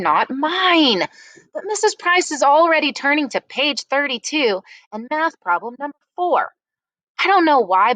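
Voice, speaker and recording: Expressive woman reading aloud, very animated pitch. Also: no room sound, low-quality recording. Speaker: read-aloud narrator. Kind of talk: expressive first-person story reading.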